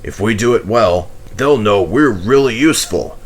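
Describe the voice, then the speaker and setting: Guy doing a stern train controller for a kids story, kinda deep, firm boss voice. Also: lively intonation. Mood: approving. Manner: firm, brisk authority. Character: stern, authoritative railway controller, adult man.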